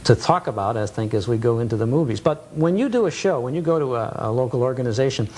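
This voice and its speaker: A man's voice: medium pitch, animated, quick pace, a little mellow. A man hosting a television nostalgia show, chatting casually and reminiscing as he interviews.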